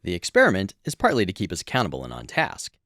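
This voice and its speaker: A man with a deep voice talks casually as a podcast co-host. His intonation is lively and varied, and the sound is dry with very little room on it. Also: quick pace, no room sound. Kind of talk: casual explanatory podcast talk.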